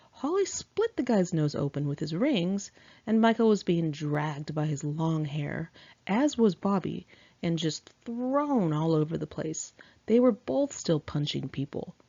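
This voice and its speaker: A woman's voice: low voice, gravelly, mellow, no room sound, lo-fi sound. A woman reads her own memoir aloud in an informal, candid manner, as an author narrating.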